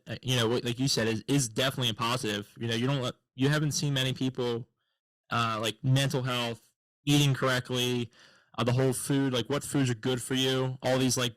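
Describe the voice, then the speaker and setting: Casting: male podcast host, easygoing rambler, middle-pitched, dry close sound. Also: a bit bright, no room sound, poor audio. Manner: easygoing, filler "like", rambling questions. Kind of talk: casual interview chat.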